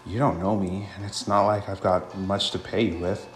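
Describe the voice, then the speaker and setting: A man's voice: low-pitched, little pitch movement, moderate pace, lightly acted. A man voicing a despairing husband in a visual novel game, weary, bitter and skeptical. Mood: bitter, doubtful. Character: despairing husband robbed of his wife, bitter and doubtful. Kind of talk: amateur visual novel voice acting. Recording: roomy with faint music.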